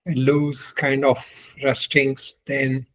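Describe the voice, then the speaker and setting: A man leading a guided meditation as a spiritual teacher, speaking in short, deliberate phrases with long pauses. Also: mid-range voice, monotone, fairly bright tone. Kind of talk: guided meditation, spoken instruction.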